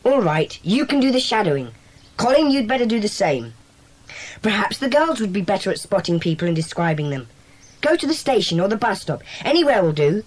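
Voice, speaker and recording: A schoolboy in charge of a secret club. The delivery is brisk, bossy and eager, with pitch that moves about a lot, in the manner of a children's dramatised audiobook. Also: female voice, sing-song, low-quality recording. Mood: organising, earnest. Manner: brisk, commanding, organising.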